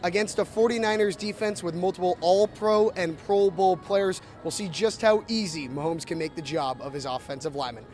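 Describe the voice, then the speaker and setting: A man's voice: high voice, steady tone, moderate pace, hardly any pauses, darkish tone. A man working as a sports reporter, delivering a scripted report.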